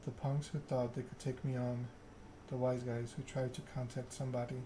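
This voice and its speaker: A man voicing a suave, calmly menacing vampire enforcer in a game, with a slightly bored manner. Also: medium pitch, moderate pace, lightly acted. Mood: calm menace. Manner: calm, slightly bored monotone. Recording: clear background ambience noise.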